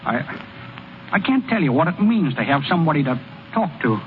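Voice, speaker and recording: A naive country ballplayer with a high American voice that swings up and down. He talks in folksy, halting, ungrammatical phrases. It sounds like a thin, noisy old radio drama. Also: sing-song, phone-quality sound. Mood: dejected, tender. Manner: folksy, ungrammatical, hesitant stammering speech. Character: naive, boastful-turned-dejected hayseed male baseball pitcher. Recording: thin, noisy vintage radio broadcast recording.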